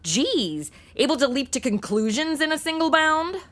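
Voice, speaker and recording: Woman playing animated, snarky modern girl. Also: sits high, moderate pace. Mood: sarcastic, exasperated. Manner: sarcastic, flippant. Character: snarky modern young woman stuck in old radio shows. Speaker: star of an audio comedy. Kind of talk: comic audio drama, modern slangy banter.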